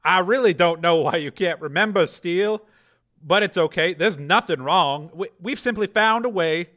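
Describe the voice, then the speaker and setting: A man voicing an anxious male scientist who stammers and lies under stress, his voice pushed high and loud. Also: fairly quick, natural voice. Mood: pleading. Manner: stammering, frantic, raised voice.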